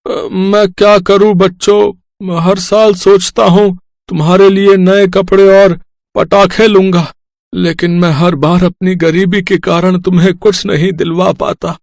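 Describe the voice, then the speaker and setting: A man voicing a poor, kind-hearted village labourer and father in an animated moral story, earnest and plaintive. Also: sits high, measured pace, dry recording. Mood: sorrowful.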